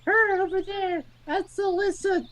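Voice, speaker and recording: A performer voicing a chatty, upbeat young man in a visual novel, with a cartoonish, drawn-out delivery. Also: very high-pitched, steady tone, a bit bright. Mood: friendly. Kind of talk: amateur visual novel character dubbing. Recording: music behind the voice.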